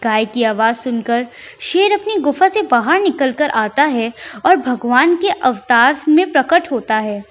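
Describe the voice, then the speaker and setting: High-pitched, expressive woman storyteller. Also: unhurried, few pauses. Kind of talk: children's bedtime story narration.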